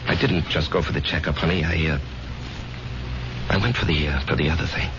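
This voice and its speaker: An American actor playing a weary, troubled war veteran salesman in a radio drama, speaking haltingly and hesitantly. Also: extremely low pitch, noisy background. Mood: hesitant, burdened. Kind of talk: 1970s radio drama acting. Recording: dull vintage radio recording with music.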